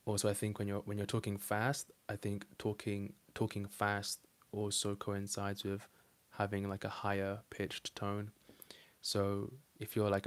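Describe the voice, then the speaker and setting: A man's voice: on the low side, gravelly. A young man coaching on dating, talking casually to camera and answering viewer comments, loose and rambling with many fillers.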